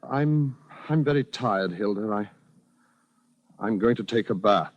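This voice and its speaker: An actor playing an evasive, unstable husband with princely delusions, clipped and theatrical in 1940s radio style. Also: male voice, on the low side, animated, measured pace, highs cut off, very noisy. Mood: evasive, weary.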